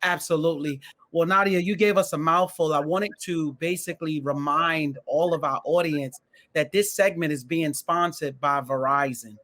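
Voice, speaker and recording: High-voiced, enthusiastic male interview host. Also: moderate pace, bright, forceful tone. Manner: enthusiastic, repeats phrases for emphasis. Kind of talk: online interview show hosting.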